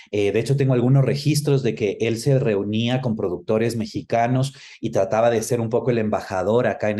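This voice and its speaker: Male interview guest. Soft, dark timbre, thoughtful conversational delivery that gropes for the right word. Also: medium pitch. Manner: hesitant, searching for words. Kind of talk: reflective conversational interview answers.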